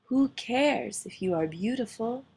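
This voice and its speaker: Woman as a gentle, unhurried little mouse. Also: a little high, animated, creaky, a little hiss or hum, voice pushed up. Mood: sweet, sincere. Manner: soft, kindly. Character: gentle, sweet little male mouse suitor.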